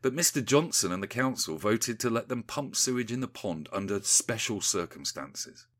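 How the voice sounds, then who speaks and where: A man's voice: lowish voice, animated, normal speed, fairly bright tone, voice pushed up. A man voicing a weary, sighing, gossipy village woman in a satirical storybook parody. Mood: weary resignation.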